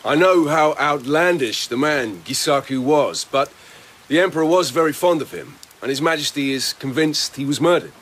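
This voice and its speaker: An actor playing a shrewd, plain-spoken lieutenant in a radio drama, questioning in a direct, probing manner. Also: male voice, a little high, normal speed, few pauses, a bit bright. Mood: urgent. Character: shrewd, plain-spoken young-ish male lieutenant investigator.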